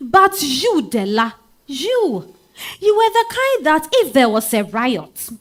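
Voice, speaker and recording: A woman's voice: high voice, slow, heavily acted. A Ghanaian woman playing a spirited married woman telling her love story in a dramatized stage reading, with conversational interjections. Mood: nostalgic, intense. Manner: expressive, conversational interjections like eh and hmm. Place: live literary festival stage reading.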